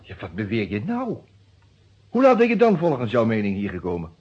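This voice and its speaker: A man's voice: on the low side, huge pitch swings, a little fast, a little mellow, breathy edge, exaggerated voice. An actor playing a proud, sardonic lawyer under suspicion in a Dutch detective radio play, a man given to mock-formal challenges. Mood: indignant. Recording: dull lo-fi old radio recording.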